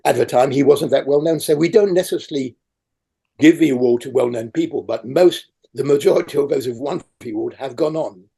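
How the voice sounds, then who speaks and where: A man's voice: medium pitch, a little fast, creaky, mellow, slightly rough. An older man, a native French speaker, answering interview questions over a video call in a rambling way with many fillers. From raised in France. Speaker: awards chair interviewee. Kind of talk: conversational interview.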